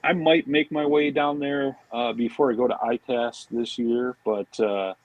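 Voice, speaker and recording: A man chatting casually as a podcast guest, talking in a loose, halting way. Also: mid-range voice, steady tone, dry recording, rough recording. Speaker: podcast guest, fishing brand marketing director. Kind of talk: casual chat.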